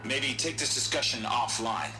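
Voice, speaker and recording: A skeptical, argumentative official in a video game drama. His voice is very bright and swings in pitch. The room is echoey. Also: animated, moderate pace. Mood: skeptical, exasperated. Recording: echoey room, faint music.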